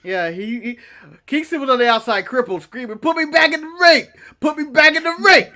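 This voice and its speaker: Male co-host, chatty and comedic, bright, sharp-edged voice. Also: very high voice, brisk. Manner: joking, animated, laughs often. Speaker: podcast co-host. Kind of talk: casual wrestling review chat.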